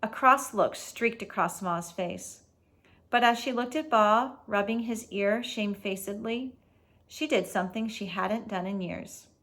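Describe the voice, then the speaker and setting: A woman reads a storybook aloud as host, with a mid-range voice. She is expressive and explains things casually, as if to young listeners. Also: medium pitch, measured pace.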